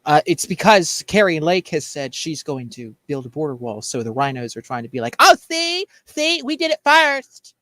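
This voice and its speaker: A man's voice: on the high side, big pitch swings, normal speed, few pauses, sharp tone, dry recording. An American man on a talk show panel, giving opinionated political chat in a blunt, exasperated, ranting manner.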